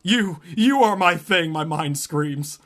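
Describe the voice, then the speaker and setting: A man doing a mocking, deadpan reading of a romance novel, often breaking off into laughter and asides. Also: on the high side, takes it slowly. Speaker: podcast co-host reading a novel aloud.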